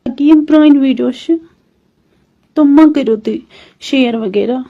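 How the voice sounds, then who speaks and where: Female, Kashmiri accent, lo-fi phone recording, high mellow voice, pleading personal appeal with repeated requests. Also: unhurried.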